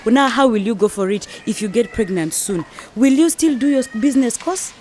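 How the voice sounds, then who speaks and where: A woman's voice: a little high, lively intonation, fairly quick, darkish tone, slight background noise, lightly acted. A woman playing a determined, persuasive young wife in an educational radio drama, advising her friend with assured emphasis.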